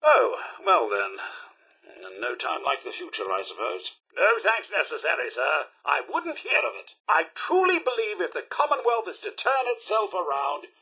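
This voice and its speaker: A prim British robot butler from a video game, high-voiced and bright. He is fussy and deferential, and his theatrical, formal phrasing swoops widely as he devotedly frets over his master. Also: sing-song, normal speed, slightly rough, heavily acted. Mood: pained, wistful, then earnest, devoted. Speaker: robot servant companion. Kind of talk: video game character dialogue.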